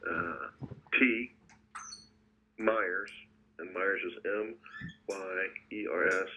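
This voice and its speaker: A man with a fairly low voice talks casually on the phone. The line is thin and tinny, with faint noise. His speech is halting but blunt, like a business owner weighing an offer. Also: pushed and bright. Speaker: business owner taking a sales call. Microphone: telephone.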